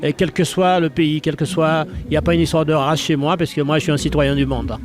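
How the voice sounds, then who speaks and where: A man's voice: fairly high pitch, fairly even intonation, a little fast. A man from France chatting on a TV show, hesitant and full of fillers and restarts. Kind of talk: casual televised chat.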